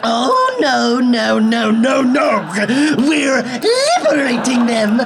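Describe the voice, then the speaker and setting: A woman's voice: sits high, very animated, drawn-out words, full character voice. A woman playing a manic, gleeful male jester mage, a theatrical cackling villain in a noir fantasy radio play. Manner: cackling, grandiose, taunting.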